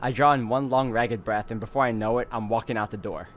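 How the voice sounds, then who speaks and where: A man's voice: medium pitch, steady tone, fast talker, fairly bright tone, slight background noise. A man hosting a let's play, mixing gaming commentary with dramatic read-aloud of visual novel narration. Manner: reads narration dramatically, reacts openly. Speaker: let's play video host.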